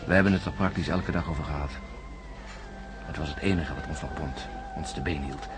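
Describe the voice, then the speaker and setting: An actor playing an embittered, suspicious astronaut in a science-fiction radio drama, emphatic and sardonic, with brooding hesitations. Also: male voice, extremely low pitch, brisk, noisy background. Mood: grave, insistent. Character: embittered, suspicious male astronaut insisting on his alarming sighting.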